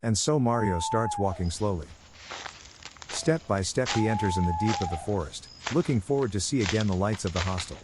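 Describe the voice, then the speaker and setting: A man's voice: on the low side, animated, a little fast, very noisy. A man who speaks English as a second language, narrating a scripted story in a theatrical, suspenseful way. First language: not English.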